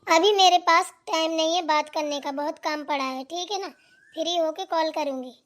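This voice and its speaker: A woman voicing a quick-tempered young daughter-in-law in a family cartoon, arguing and complaining with sarcasm. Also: very high-pitched, fairly bright tone. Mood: irritated, threatening. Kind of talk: amateur Hindi family cartoon dialogue.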